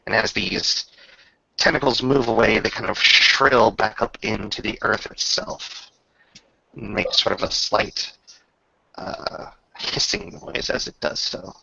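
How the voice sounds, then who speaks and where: A man acting as game master, improvising narration for a tabletop roleplaying game in a halting, thoughtful manner. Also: lowish voice, creaky, lo-fi sound, background noise.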